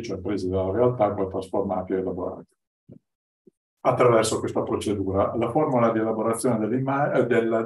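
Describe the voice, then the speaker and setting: A man in his fifties gives an informal slide lecture as a guest speaker, in halting, hesitant phrases, standing in a classroom away from the microphone. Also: on the low side, a little mellow. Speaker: guest lecturer, artist-researcher. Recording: echoey room, distant from mic.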